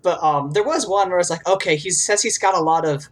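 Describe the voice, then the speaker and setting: A woman's voice: on the low side, fast, a loud crowd. A woman co-hosting a podcast in casual conversation, acting out reactions as she quotes them. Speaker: podcast co-host. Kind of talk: casual pop-culture chat.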